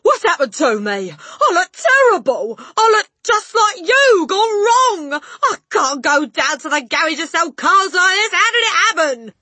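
A woman voicing a blustering, indignant middle-aged father in a storybook, yelling and wailing in panic. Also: mid-range voice, steady tone, moderate pace, few pauses, dry recording. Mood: horrified panic.

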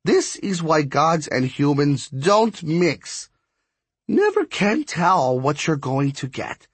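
Guy doing a bossy old lightning spirit lady, high husky voice, scolding and swooping all over, audiobook style. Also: very animated, slow, dry recording, full character voice. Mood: brusque. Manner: scolding, commanding, emphatic. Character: bossy, impatient, ancient female lightning-forging spirit, small and skinny. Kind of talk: acted audiobook dialogue.

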